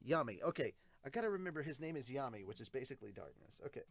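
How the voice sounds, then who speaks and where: Guy doing a casual review podcast, blunt and sweary, animated, really dry close sound. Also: mid-range voice, fast talker, gravelly, no echo. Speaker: host of a manga review podcast. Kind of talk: casual reaction and review commentary.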